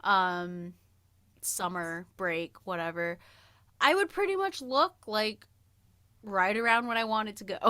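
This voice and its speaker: A woman in her thirties with a bright, forward voice, chatting casually as a podcast co-host. She takes her time over the story and is playful and self-deprecating, in a dry, close-sounding recording. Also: medium pitch, dry recording. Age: early 30s.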